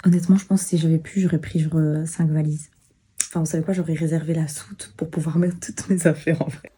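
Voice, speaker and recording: A woman's voice: low voice, flat delivery, fairly quick, over music. A young woman in her early twenties with a Belgian French accent, vlogging casually and mixing in English words and slang. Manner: chatty, sprinkles in English words and youthful slang. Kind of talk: casual vlog with scripted voice-over narration.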